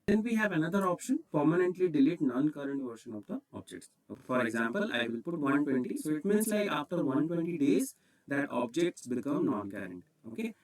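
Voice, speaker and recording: A man's voice: a little high, lively intonation, fairly quick. Male tutorial presenter, Indian accent, explains each step methodically, recorded at a distance from the mic. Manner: instructional, repeats "okay" as a check. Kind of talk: step-by-step software screencast tutorial.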